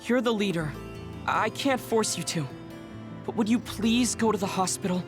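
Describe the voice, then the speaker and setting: A man playing a polite, concerned young male officer in a video game, courteous and gentle. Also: high voice, normal speed, music in the background, lightly acted. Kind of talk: video game cutscene voice acting.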